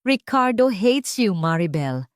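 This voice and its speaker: A woman's voice: medium pitch, big pitch swings, measured pace, clear, resonant tone, no echo, clean studio sound. A woman voicing a furious, jealous mistress with taunting outbursts in an audio story.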